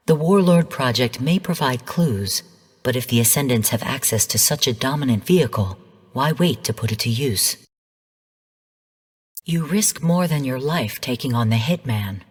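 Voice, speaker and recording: A woman voicing a calm, calculating artificial intelligence in a video game, speaking formally and with measured, cool command. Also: extremely low pitch, slightly rough, big-room sound, heavily acted. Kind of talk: scripted video game voice lines.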